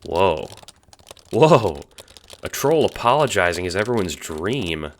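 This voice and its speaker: A man's voice: low voice, sing-song, takes it slowly, background noise, heavily acted. A man playing an exasperated, sarcastic young gamer in an audio drama, with an emphatic delivery. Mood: sarcastic, surprised.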